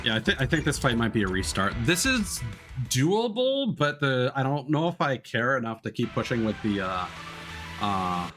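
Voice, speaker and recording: A man's voice: mid-range voice, big pitch swings, moderate pace. A man livestreaming a video game, giving casual, rambling commentary and thinking aloud as he plays. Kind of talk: casual live gameplay commentary. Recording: game music behind the voice. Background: video game music.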